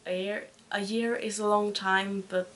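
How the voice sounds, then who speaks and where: Slow, hesitant Swedish woman in her twenties. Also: mid-range voice, flat delivery, a little hiss or hum. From Sweden. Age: 20s. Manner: hesitant, searching for words. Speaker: podcast host. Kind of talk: casual chat.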